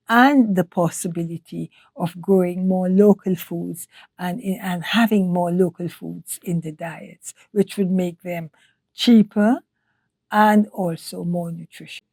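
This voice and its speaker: An older woman from Trinidad and Tobago, a scientist answering interview questions in a measured way, often restarting her phrases. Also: on the low side, steady tone, dark tone, dry recording. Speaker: guest, nutrition scientist. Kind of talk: podcast interview.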